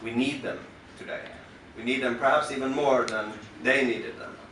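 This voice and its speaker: A man from western Norway with a Norwegian accent, talking to a concert audience from the stage in a halting, thoughtful way with many pauses and restarts. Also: mid-range voice, fairly even intonation, fairly bright tone, slight background noise. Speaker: musician introducing his work on stage. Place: concert club venue. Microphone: stage microphone through the house speakers, recorded from the audience. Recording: roomy venue sound recorded from far off.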